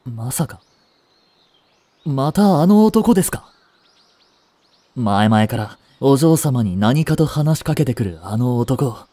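A man's voice: medium pitch, expressive, slow, pauses often, mellow, dry recording. A man playing a devoted, jealous young butler in a voice drama, with formal, clipped, haughty speech. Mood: irritated, jealous. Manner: formal, polite, clipped, turning contemptuous toward a rival. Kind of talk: Japanese voice drama acting.

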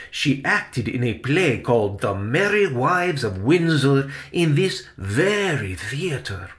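Man acting a slow-talking, kindly theatre gentleman. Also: medium pitch. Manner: unhurried, reassuring. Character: kindly, reassuring Spanish-speaking theatre man, patient and gentle. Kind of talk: children's storybook character voice.

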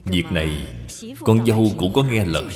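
A man's voice: low voice, normal speed, a little mellow, natural voice. A man voicing a scheming, aggrieved stepmother from old China in a cartoon, complaining and justifying herself. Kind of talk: cartoon dubbing. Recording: long reverb, music behind.

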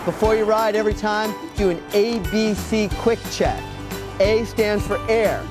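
A woman's voice: medium pitch, unhurried, music in the background. A woman giving an instructional presentation as a safety instructor.

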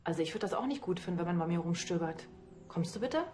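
Woman as a quick-talking kindly policewoman. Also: lowish voice, expressive, a little mellow, a little hiss or hum, close to an everyday voice. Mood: firm. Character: brisk, kindly but firm policewoman.

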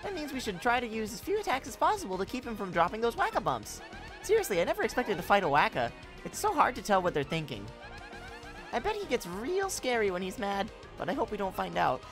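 A woman's voice: mid-range voice, fast talker. Perky young female game guide, read by a woman, chatty and earnest, very varied intonation, faint music behind. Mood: informative, earnest. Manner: explanatory, expressive.

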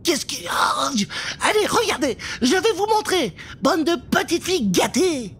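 A man's voice: extremely high pitch, big pitch swings, unhurried, a bit bright, voice pushed up, heavily acted. A man voicing a bossy, mean old ogress in a fairy tale, scolding in a strained shriek. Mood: scornful. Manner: scolding, exclaiming.

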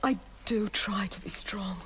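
An actress playing a parched, frail young imprisoned daughter in a radio drama, breathy and often near a whisper. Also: female voice, mid-range voice, measured pace, fairly bright tone, slight background noise, lightly acted. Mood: weak, despairing.

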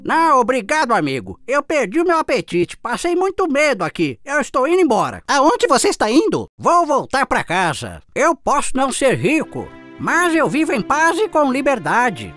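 A woman voicing excitable, animated mice in a children's cartoon fable. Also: sits high, normal speed, hardly any pauses, faint music behind, full character voice. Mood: weary, resolute. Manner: exclaiming, animated. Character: excitable cartoon mice, country and city friends.

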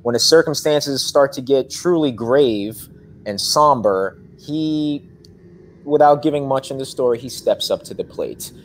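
A young man, an actor, answering interview questions on a video call from his living room couch, animated with lots of fillers. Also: mid-range voice, a little slow, a little hiss or hum, low-quality recording. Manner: animated, gestures a lot, frequent fillers. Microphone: webcam on video call.

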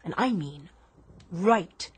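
An actress playing an inventor's desperate, defiant grown daughter in a radio drama, impassioned and theatrical in delivery. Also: female voice, lowish voice, animated, slow pace, husky, full character voice. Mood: defiant, urgent.

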